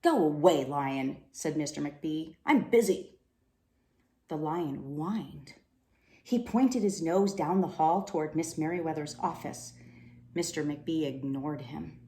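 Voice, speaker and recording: Low, mellow woman reading a storybook. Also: measured pace. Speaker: storybook reader. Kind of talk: expressive children's read-aloud narration. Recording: roomy echo, faint background noise.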